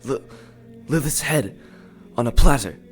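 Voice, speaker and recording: A man's voice: mid-range voice, lively intonation, measured pace, soft background music, close to an everyday voice. An actor playing a nervous young male acolyte in an audio drama, hesitant and stammering.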